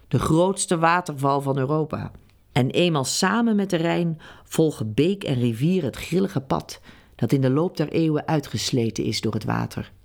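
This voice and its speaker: A woman reading a meditative, incantatory text aloud, guiding listeners in a slow, hushed way. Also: low-pitched. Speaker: narrator. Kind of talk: scripted meditative narration.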